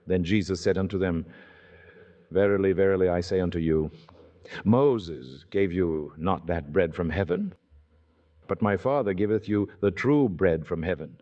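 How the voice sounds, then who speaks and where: A man's voice: sits low, moderate pace, dull audio. A man reading scripture aloud in a solemn, declamatory manner, in the dramatic style of old-time radio. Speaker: scripture reader.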